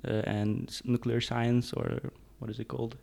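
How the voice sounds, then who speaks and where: A man in his thirties from Hungary, a tech co-founder, talking as a guest in a halting way with many restarts. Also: medium pitch, level tone, creaky, dark tone. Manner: halting, restarts, searching for words. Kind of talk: casual interview chat.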